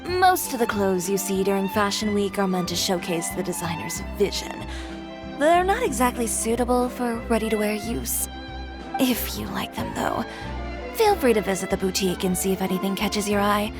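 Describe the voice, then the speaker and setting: Woman acting young female fashion designer, medium pitch, confident, matter-of-fact, dry, game dialogue, music behind. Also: sing-song, moderate pace, close to an everyday voice. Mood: businesslike.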